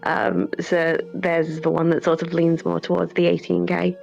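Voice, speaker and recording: A woman talks informally about her creative work in an interview, working out her answers aloud. Her voice sits a little low with plenty of vocal fry. The sound is a bit dull, with soft music underneath. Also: monotone, normal speed. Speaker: game developer.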